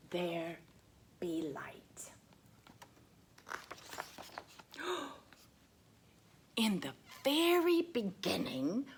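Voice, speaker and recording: A woman voicing a sweet, excitable lady who reads to children, drawing out words with exaggerated wonder. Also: lowish voice, husky, full character voice. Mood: hushed wonder. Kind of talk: children's story reading.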